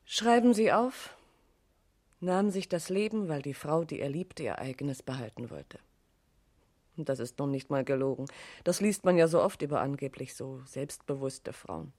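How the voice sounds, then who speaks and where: Middle-aged woman in mourning, radio crime drama: halting, bitter, blaming herself. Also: deep voice, darkish tone. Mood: bitter sorrow. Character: grieving middle-aged woman questioned about her dead lover.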